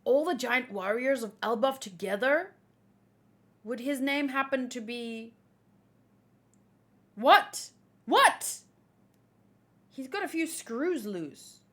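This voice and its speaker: A woman hosting a casual read-along, reading comic dialogue aloud and chatting as an animated fan. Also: high-pitched, slow pace, long pauses. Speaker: host reacting to a manga chapter read-along.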